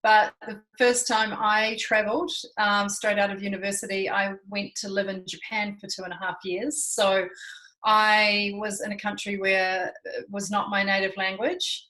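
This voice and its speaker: A woman in her thirties from New Zealand with a New Zealand accent, co-hosting a casual chat in a relaxed, reassuring, fluent way. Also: medium pitch, normal speed, low-quality recording. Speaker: co-host.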